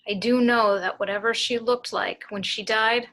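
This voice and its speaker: A woman's voice: on the high side, fairly even intonation, moderate pace, fairly bright tone, close to an everyday voice. Woman as a grave, haunted storyteller. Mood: reflective. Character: haunted storyteller recalling a sinister childhood memory. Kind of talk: dramatic monologue in amateur table read.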